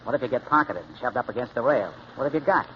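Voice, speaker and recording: A man's voice: mid-range voice, animated, fast, lightly acted. A man playing a shady, coolly coaxing gambler in 1940s radio acting, talking in slangy, insinuating terms. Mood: coaxing menace. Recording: muffled, hissy old radio recording.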